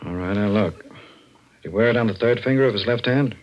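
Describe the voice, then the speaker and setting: Man acting deep, curt radio homicide detective. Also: a little fast, lightly acted. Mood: brisk, probing. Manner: curt, commanding, hard-boiled. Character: tough, blunt homicide detective sergeant. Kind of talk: 1940s radio mystery acting. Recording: muffled old-time radio recording.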